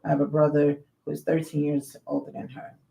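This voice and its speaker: A woman in her forties with a New York accent co-hosts a talk radio show. Her voice has a gravelly, fry-laden edge, and she speaks bluntly and frankly, telling stories in casual conversation. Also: low-pitched, completely flat delivery, brisk, dark tone, low-quality recording. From Latin America. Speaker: co-host.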